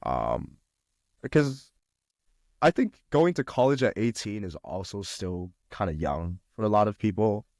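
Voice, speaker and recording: A man's voice: on the low side, sing-song, unhurried, a little mellow, no echo, studio quality. A young man from China, a podcast guest chatting casually about college life, with lots of fillers and restarts. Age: young adult. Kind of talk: casual conversation.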